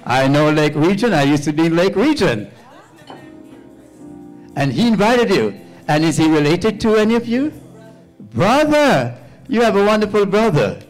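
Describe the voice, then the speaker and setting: A slightly high-pitched older man speaking into a handheld mic as he moves through a church sanctuary. He welcomes each visitor, repeats their names and prompts the congregation for an amen. Soft music plays behind him. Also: big pitch swings, moderate pace, long pauses, poor audio. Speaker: pastor. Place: church sanctuary, walking among pews.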